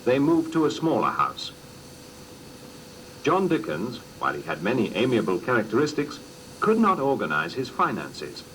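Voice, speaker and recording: A man reading scripted documentary narration in a measured, formal storytelling manner, on an old cassette recording. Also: mid-range voice, huge pitch swings, fairly bright tone, a little hiss or hum, poor audio.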